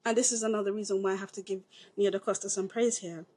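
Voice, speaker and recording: A woman's voice: medium pitch, fast. A young British woman co-hosting a podcast, reviewing films in an emphatic, opinionated way. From Britain. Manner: emphatic, opinionated, animated delivery. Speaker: podcast co-host, film reviewer. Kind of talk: casual review chat.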